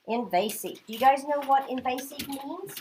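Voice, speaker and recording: A woman's voice: sits high, lively intonation, moderate pace, a little mellow, a little hiss or hum. A middle-aged woman from Oklahoma teaching a class, chatty and checking in with her students as she goes. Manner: chatty, checks in with "okay?" often.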